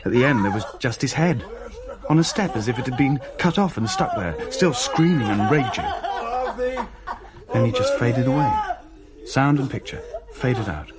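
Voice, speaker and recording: Male actor, radio drama narrator, dry wry storytelling, animated intonation, medium pitch. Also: normal speed, over a noisy crowd, lightly acted. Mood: tense, vivid. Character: family man recounting a haunting, wry and vivid.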